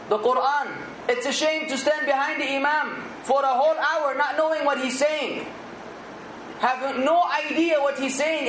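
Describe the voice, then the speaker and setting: A man's voice: very high-pitched, fairly bright tone, roomy sound, a little hiss or hum, rough recording. A man giving an earnest Islamic sermon, exhorting his listeners and now and then rising to emphatic outbursts. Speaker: Islamic preacher.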